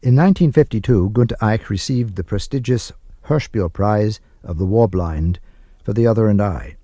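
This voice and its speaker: A man narrating from a script for radio in a measured, formal manner. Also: fairly low pitch, dark tone, dry recording. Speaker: radio announcer and translation reader.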